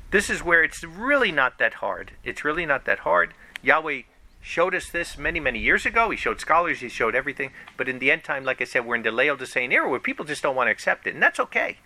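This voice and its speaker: Male preacher, conversational sermon, gravelly voice with lively pitch. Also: dry recording, rough recording. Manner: animated, conversational preaching with rising emphasis. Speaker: pastor.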